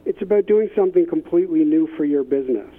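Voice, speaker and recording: A man's voice: fairly high pitch, animated, quick pace, over music. A man speaking as an expert guest in an interview, telling anecdotes conversationally. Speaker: expert guest, business innovation consultant. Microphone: phone line. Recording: thin phone-line sound.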